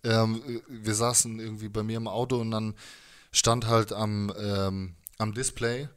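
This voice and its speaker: A man's voice: fairly low pitch, flat delivery, measured pace, clean tone. Slangy, rambling man in casual interview. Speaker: musician, interview guest. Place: living room music space, indoors. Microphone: handheld microphone.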